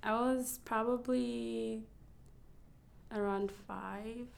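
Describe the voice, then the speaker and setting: A young woman from Rwanda, a model, speaking softly in a casual interview, laughing often and using many fillers. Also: fairly high pitch, steady tone, very slow, creaky. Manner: soft-spoken, laughs often, frequent fillers.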